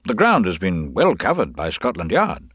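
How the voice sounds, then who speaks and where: A man playing a brisk, confident public prosecutor in a detective radio drama, breezy and authoritative. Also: sits low, huge pitch swings, fairly bright tone, breathy edge, dry recording, studio quality, full character voice. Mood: brisk, obliging.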